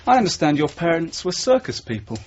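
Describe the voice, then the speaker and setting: An actor playing a dry, guarded detective sergeant in a radio drama, speaking in a terse, understated way. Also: male voice, fairly high pitch, very animated, fairly quick, a little hiss or hum. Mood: politely probing. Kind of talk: naturalistic radio drama acting. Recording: dull old radio broadcast recording.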